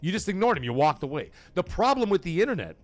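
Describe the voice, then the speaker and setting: A man's voice: high-pitched, very animated, fast, soft background music. Rambling middle-aged man hosting a gaming stream. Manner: rambling, swears freely. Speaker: gaming livestream host. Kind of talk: casual livestream talk.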